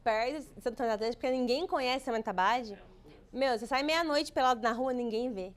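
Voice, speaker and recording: A woman from Minas Gerais co-hosting a podcast, chatting warmly and addressing the audience directly. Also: fairly high pitch, fast. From Minas Gerais, Brazil. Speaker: podcast co-host. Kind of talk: podcast host chat and sponsor read.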